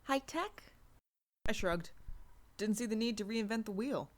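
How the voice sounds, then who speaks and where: A woman's voice: medium pitch, moderate pace, darkish tone. A woman reading a first-person story aloud as an audiobook narrator. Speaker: audiobook reader. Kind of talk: scripted fiction narration.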